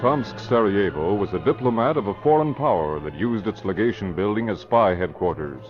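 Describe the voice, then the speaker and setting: Lively vintage radio mystery narrator, a man. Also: on the low side, fairly quick, over loud music, natural voice. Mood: dramatic. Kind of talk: 1940s radio narration, scripted. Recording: dull vintage radio recording with crowd.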